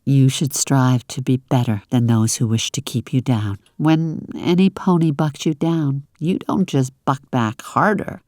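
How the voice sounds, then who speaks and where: A woman playing a loving, proud and resolute pony mother in an audio drama, speaking slowly and deliberately. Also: very deep voice, warm tone, no room sound, clean studio sound.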